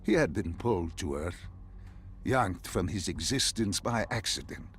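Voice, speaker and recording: Grave ominous game interrogator man, really low voice, measured and theatrical, bit of noise behind. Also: lively intonation. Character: grave all-knowing male interrogator lecturing on dark gods. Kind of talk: video game cutscene dialogue.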